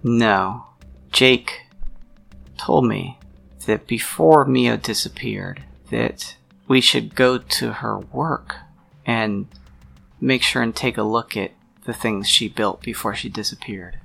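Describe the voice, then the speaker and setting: A man playing a troubled, protective friend of a missing woman in a role-play drama, speaking in a halting, deliberate, sincere way with a gravelly voice. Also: mid-range voice, fairly bright tone, exaggerated voice. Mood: earnest, subdued. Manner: halting, deliberate, pausing mid-sentence. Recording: music under the voice.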